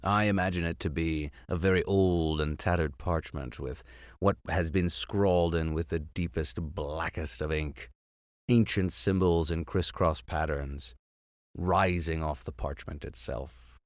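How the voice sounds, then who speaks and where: A man's voice: rumbling low, unhurried, no room sound, clean studio sound. A man reading a classic story aloud as host, theatrical in delivery, with wry asides. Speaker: reader, host.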